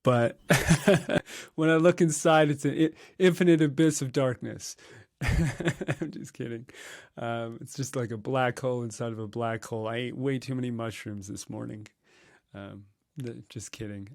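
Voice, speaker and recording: A man's voice: mid-range voice, fairly even intonation, measured pace, vocal fry, no room sound, clean studio sound. A man in his thirties, a meditation teacher whose first language is Russian, talking casually on a podcast with a playful, joking manner. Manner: playful, joking, bursts into laughter.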